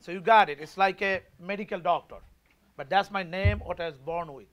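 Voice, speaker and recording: Emphatic Bangladeshi-accented man, high bright voice. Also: brisk. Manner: emphatic, rousing, leads call-and-response. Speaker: party chair candidate. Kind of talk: campaign speech at a forum.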